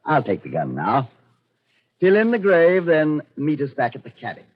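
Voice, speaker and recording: An actor playing an arrogant, short-tempered Nazi colonel in a 1940s radio drama, commanding and scornful. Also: male voice, a little high, wildly swooping pitch, normal speed. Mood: curt command. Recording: dull, noisy 1940s radio recording.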